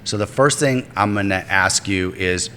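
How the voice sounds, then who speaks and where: This man hosts a podcast with a forceful, bright-edged voice sitting low in pitch. He asks long, rambling questions full of fillers and restarts. His voice carries a long reverb with faint music underneath. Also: normal speed, slight background noise. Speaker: podcast host. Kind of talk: casual interview hosting.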